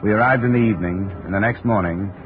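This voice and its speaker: A man's voice: on the low side, fast, music in the background, lightly acted. An actor playing a dry, terse insurance investigator who also narrates his case, in 1950s radio drama style. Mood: narrating, measured. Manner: terse, dry, matter-of-fact. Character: hard-boiled male insurance investigator, dry and unhurried. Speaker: lead detective and narrator. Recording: noisy, muffled old-time radio recording.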